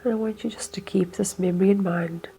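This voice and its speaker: A woman leading a slow, hypnotic guided meditation in a soothing, hushed manner with unhurried phrasing. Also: mid-range voice, steady tone, creaky, slight background noise.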